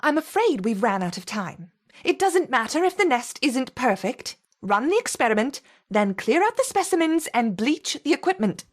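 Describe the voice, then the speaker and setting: A woman's voice: high-pitched, big pitch swings, moderate pace. A woman playing a stern, bossy laboratory supervisor in a game, curt and authoritative. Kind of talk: video game character acting.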